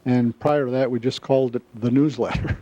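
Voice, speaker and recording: Mellow older American man, halting delivery. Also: medium pitch, steady tone. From Wisconsin, US Midwest. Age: 70s. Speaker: club member, newsletter editor. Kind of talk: interview recollection. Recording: slightly dull, dry interview sound.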